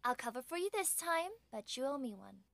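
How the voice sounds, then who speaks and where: A woman playing a caring, fussy palace attendant in an audio drama, with an expressive, exasperated delivery. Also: sits high, fairly quick, creaky, a little hiss or hum, heavily acted. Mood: sympathetic.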